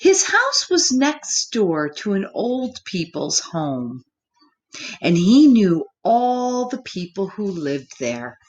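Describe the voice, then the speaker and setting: Woman, children's storyteller: slow delivery, lively swooping intonation, simple wording, gentle asides to little listeners. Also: medium pitch. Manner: expressive, gently questioning, speaks simply as to small children. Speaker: storyteller reading a picture book to children. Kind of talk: children's story time, read-aloud with asides to young listeners.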